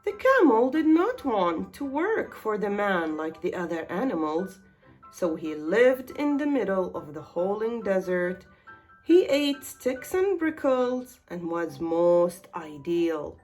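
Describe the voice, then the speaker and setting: A woman reads a children's bedtime story as its narrator. Her phrasing is deliberate and unhurried, with very lively rises and falls in pitch. Music plays behind her voice.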